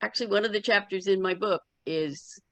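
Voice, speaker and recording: Woman spiritual teacher just chatting, crackly fry in her voice, real dry audio. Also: medium pitch, fairly quick, fairly bright tone, no room sound. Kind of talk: casual group chat.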